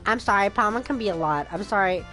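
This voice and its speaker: A woman's voice: medium pitch, lively intonation, quick pace. A woman streaming a video game, chatting casually with wry asides as she reacts. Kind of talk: casual playthrough reactions, some reading aloud. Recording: game music behind voice.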